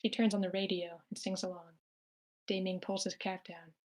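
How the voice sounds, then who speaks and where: A woman narrates a screenplay's action at an amateur table read. She reads plainly in a medium-pitched voice and now and then stumbles on a word. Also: little pitch movement, moderate pace, a little mellow. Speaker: stage-direction narrator.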